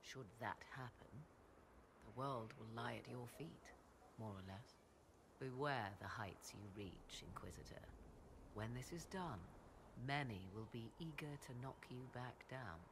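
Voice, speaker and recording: An actress playing a proud, enigmatic sorceress in a video game, speaking in theatrical, archaic and formal phrases. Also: female voice, very deep voice, lively intonation, a little slow, noisy background, full character voice. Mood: measured, cautionary. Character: proud, enigmatic apostate sorceress, arcane advisor. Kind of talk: video game dialogue acting.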